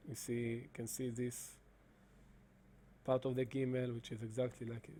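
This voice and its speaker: Guy walking through his software, soft dark voice, lots of uh's and restarts. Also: mid-range voice, flat delivery, a little hiss or hum. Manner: halting, many fillers and restarts. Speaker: presenter demonstrating software. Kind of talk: screen-recorded explanation.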